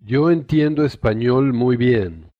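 A man's voice: mid-range voice, no echo, highs cut off. A man modeling short phrases for a language course, speaking each one carefully and then repeating it. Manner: careful, slow model sentences, each said twice.

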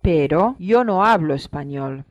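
Slow, deliberate model speaker. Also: mid-range voice, expressive, no room sound. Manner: slow, deliberate phrases, each repeated. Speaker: model speaker in a language course.

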